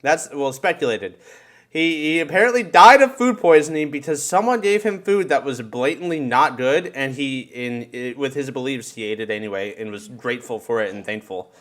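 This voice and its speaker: A man from the United States telling casual podcast stories in an animated way, with plenty of swearing and bro slang. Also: medium pitch, moderate pace. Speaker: podcast co-host. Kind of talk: casual podcast chat and storytelling.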